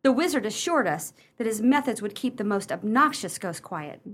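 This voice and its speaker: A woman's voice: a little high, lively intonation, normal speed. A woman acting a sceptical, genteel lady and loyal sister in a radio play, formal and arch.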